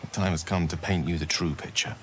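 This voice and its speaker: A man's voice: low-pitched, fairly even intonation, a little fast, a little hiss or hum, lightly acted. A man voicing a courteous, bookish wizard in a fantasy game, musing in a wry, florid way. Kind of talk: video game dramatic acting.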